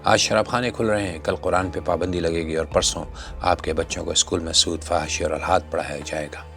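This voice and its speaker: A man's voice: deep voice, fairly even intonation, a little fast, creaky, fairly bright tone. A man delivering scripted social commentary in a rhetorical, admonishing, exhortative manner. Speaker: narrator and commentator. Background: background music.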